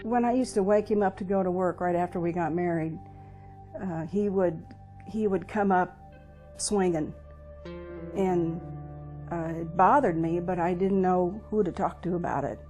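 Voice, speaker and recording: An older American woman shares personal recollections in an interview. Her voice is mellow and warm, with a reflective, plainspoken and halting delivery. Music plays quietly behind her. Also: fairly low pitch. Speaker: interviewee, veteran's wife. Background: music under the voice.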